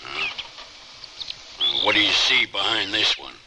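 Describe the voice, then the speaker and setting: An actor playing a gruff, brooding, scarred mountain outlaw, terse and guarded, in an old radio drama. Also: male voice, on the low side, animated, a little slow. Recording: very noisy old radio recording.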